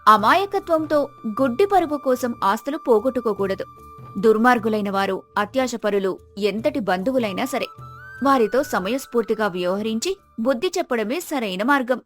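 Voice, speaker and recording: A woman's voice: a little high, expressive, moderate pace, faint music behind. A woman reading scripted narration for a moral story.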